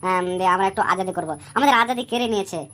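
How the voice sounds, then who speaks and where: A woman's voice: medium pitch, racing, fairly bright tone, natural voice. A woman voicing a cheeky young male village sidekick in a comic cartoon, talking in a slangy, nagging way. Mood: pleading.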